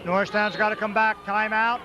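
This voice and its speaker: A man with a high, loud voice calls high school basketball play by play in short, rapid bursts. The sound is muffled old broadcast tape, and a noisy gym crowd roars behind him. He clearly roots for the home side. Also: steady tone. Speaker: play-by-play announcer. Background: crowd noise, ball bounces.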